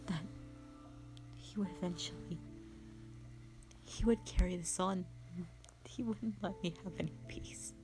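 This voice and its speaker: A woman playing a traumatised, anguished young woman in an audio drama monologue, speaking haltingly and often hushed. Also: on the low side, close to an everyday voice. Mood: hushed, haunted. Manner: halting, hushed, breaking into whispers. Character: traumatised young woman confessing a harrowing past, anguished, broken. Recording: music behind.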